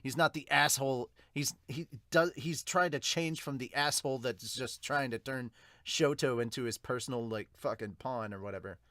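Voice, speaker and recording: A man's voice: medium pitch, moderate pace, pushed and bright, dry recording. A man hosting a podcast, giving a casual, unscripted reaction and review in a rambling, informal, sweary way. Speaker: podcast host reviewing manga.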